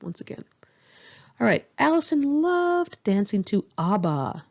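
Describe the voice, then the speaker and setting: A woman's voice: a little high, very animated, a little slow, a little mellow, dull audio. A woman reads her own memoir aloud in a candid, wry, conversational way, like a casual audiobook. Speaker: author reading own memoir.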